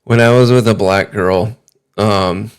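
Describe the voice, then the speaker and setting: Slow-talking man with a smooth, low voice. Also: steady tone. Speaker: livestream host reacting to videos. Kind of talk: casual livestream chat.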